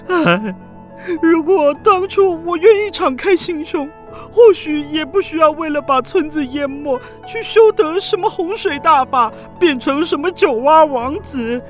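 Casting: lonely villainous frog prince, very high, lamenting and hesitant, Taiwanese Mandarin, for children's radio drama. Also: female voice, animated, measured pace, faint music behind. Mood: sorrowful. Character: lonely frog prince, self-doubting former flood villain.

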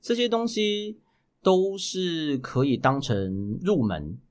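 Taiwanese mandarin guy, voice actor being interviewed, really lively pitch, explains stuff with examples. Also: slow pace. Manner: explanatory, gives examples, repeats key points. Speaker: professional voice actor, podcast guest. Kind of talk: conversational interview answers.

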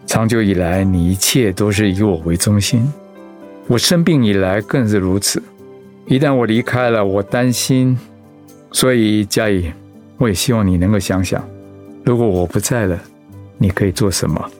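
A man playing a gentle, low-voiced idealist pastor. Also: moderate pace, faint music behind, lightly acted. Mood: tender, reflective. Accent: Taiwanese. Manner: earnest, reflective. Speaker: voice actor in a radio drama. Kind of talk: Mandarin radio drama acting.

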